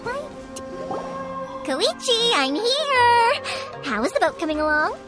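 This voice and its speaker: Give this game heroine a very high, animated voice. She is a bubbly young fireworks maker who rambles eagerly and warmly. Clear music plays behind her. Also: takes it slowly, heavily acted. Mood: cheerful. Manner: talkative, rambling, expressive. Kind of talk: video game character acting. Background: game background music.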